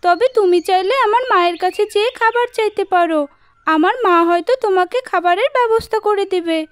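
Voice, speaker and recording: A woman's voice: extremely high pitch, a little fast, no echo, voice pushed up. A woman voicing an earnest, helpful young village daughter in a cartoon. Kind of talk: cartoon child voice. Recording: music behind the voice.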